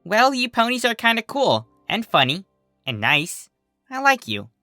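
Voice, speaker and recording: Friendly sea creature girl put on by a reader, breezy and innocent, bright, lilting delivery. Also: mid-range voice, wildly swooping pitch, measured pace, no echo, studio quality, soft background music, voice pushed up, exaggerated voice. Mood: cheerful. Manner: breezy, cheerful. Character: giggly, carefree female sea pony, friendly and innocent.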